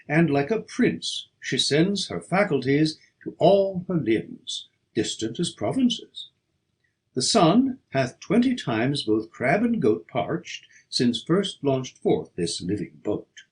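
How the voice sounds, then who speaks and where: Slow, expressive man reciting poetry. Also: on the high side. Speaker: audiobook reader.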